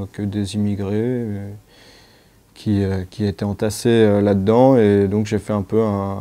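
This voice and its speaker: A man who is a sound artist, speaking in a low-key, halting, thoughtful way in a documentary interview. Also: warm tone.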